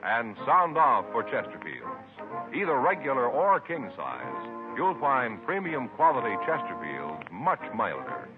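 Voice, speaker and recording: An American man announcing and pitching in 1950s radio style. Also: huge pitch swings, a little slow. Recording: muffled 1950s radio, music behind.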